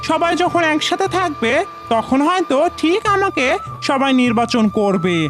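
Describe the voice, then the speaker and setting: A woman plays a cunning cartoon fox with a high, swooping voice. The creature is self-important and talks persuasively, eager to rule, over clear background music. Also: sing-song, normal speed. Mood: plotting. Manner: persuasive, boastful. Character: sly, ambitious, self-important fox who wants to be king. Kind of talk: animated fable dialogue.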